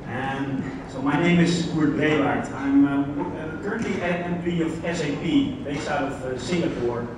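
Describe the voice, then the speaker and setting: A man's voice: a little high, normal speed. A man from the Netherlands with a Dutch accent giving a conference talk, speaking conversationally and putting questions to the audience. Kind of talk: conference keynote presentation. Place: large conference hall. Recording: very echoey hall, distant mic, background noise.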